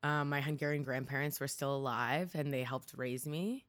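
A woman's voice: rumbling low, fairly even intonation, moderate pace. A Canadian woman speaking as an interview guest, telling personal stories in a candid, reflective way. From Ontario, Canada.

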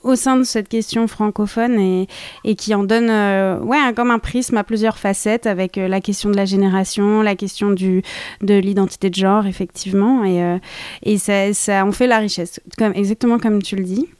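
A woman radio interviewer asking measured, friendly questions of a guest. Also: medium pitch, steady tone, darkish tone, clean tone, no echo, studio quality.

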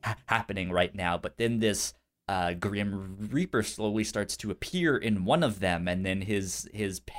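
A man's voice: on the low side, unhurried. A man co-hosting a casual film discussion, stuttering often as he repeats sounds and syllables. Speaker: podcast co-host.

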